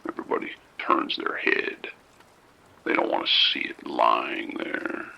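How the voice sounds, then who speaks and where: A man playing a calm, soft-spoken abductor in an audio drama, with a gravelly, whispery voice and a slow, coaxing manner. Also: slight background noise. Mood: creepy whisper.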